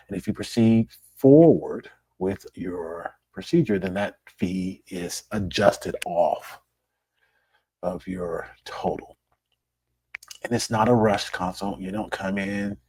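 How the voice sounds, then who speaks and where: A middle-aged man, a plastic surgeon, hosting his own podcast in a relaxed, conversational way, his voice raspy and hoarse after being ill. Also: low voice, no room sound. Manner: relaxed, conversational, repeats words. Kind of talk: solo podcast monologue.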